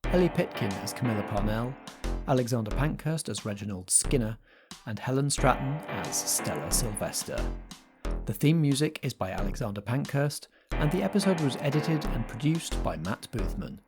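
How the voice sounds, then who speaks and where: A man's voice: mid-range voice, big pitch swings, moderate pace. A man reading scripted podcast credits as an announcer. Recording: voice over guitar theme music. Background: guitar music.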